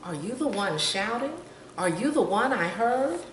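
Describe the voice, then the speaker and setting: A woman's voice: medium pitch, expressive, a little slow, pitched above the performer's own voice, close to an everyday voice. A woman voicing a tiny, kind male frog from a storybook, brave, earnest and reassuring. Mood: concerned. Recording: roomy, distant mic, faint noise.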